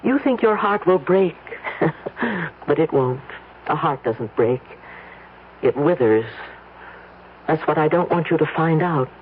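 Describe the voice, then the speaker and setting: A woman's voice: low voice, animated, a little slow, long pauses, narrow, tinny audio. Over a thin, noisy old radio recording comes a domineering middle-aged mother. She is imperious and theatrical, every phrase cutting and bitter in classic radio drama fashion. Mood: cold, resolved. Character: domineering, bitter wealthy mother of a young bride.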